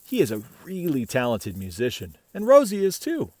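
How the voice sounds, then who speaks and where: A man reading a children's picture book aloud in an animated, playful way, chatting with and questioning the listener. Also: medium pitch, moderate pace, no echo. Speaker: picture-book reader, host.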